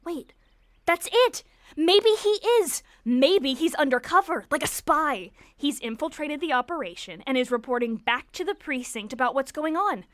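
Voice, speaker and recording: A woman plays a loyal, quick-witted teenage girl in a period audio drama. Her voice is high and expressive, with an earnest, caring manner, heard very dry. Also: no room sound. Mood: excited.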